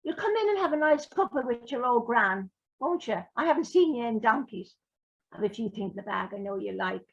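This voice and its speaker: A cheeky old granny, fond and a little scheming, who coaxes and teases, as a woman puts her on. The voice sits a bit higher and is husky and gravelly. The sound is a dull, lo-fi live recording. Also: very animated, fairly quick, full character voice. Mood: coaxing, teasing. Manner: coaxing, teasing.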